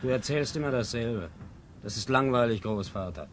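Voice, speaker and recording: A man's voice: medium pitch, animated, moderate pace, close to an everyday voice. An actor playing an impatient grandson who cuts his grandfather short with curt remarks. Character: impatient young grandson, dismissive. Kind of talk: 1950s radio play acting. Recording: faint hiss of old radio tape.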